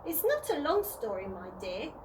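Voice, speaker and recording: A woman's voice: high voice, huge pitch swings, normal speed, noisy background. A woman voicing a posh, polite old male tramp in a children's storybook read-aloud. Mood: polite, kindly.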